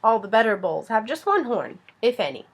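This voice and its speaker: A woman's voice: on the high side, brisk, slightly rough. A woman voicing a bold, playful, boisterous young girl, exclaiming and teasing as she reads a children's story. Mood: breezy. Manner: exclaiming, teasing, shrieking when excited.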